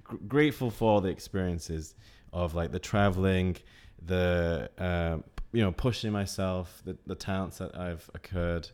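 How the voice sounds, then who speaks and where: A man's voice: deep voice, measured pace, few pauses, darkish tone, smooth. A man in his late twenties talking reflectively in a casual chat, rambling a little and laughing often. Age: 20s.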